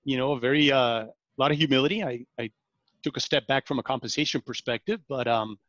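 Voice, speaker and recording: Halting man from Venezuela, dry lo-fi. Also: medium pitch, a bit bright, no echo. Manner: halting, many ums and restarts. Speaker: sales director, podcast guest. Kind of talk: interview conversation.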